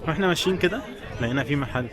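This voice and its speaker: A man's voice: on the high side, animated, rapid-fire. A man with an Egyptian Arabic accent chatting informally to viewers as a travel vlogger, in a casual walking style. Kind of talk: casual walking vlog chat, talking to viewers. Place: mostly outdoors, walking through a tourist village. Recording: outdoor tourist spot with crowd noise. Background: people's voices.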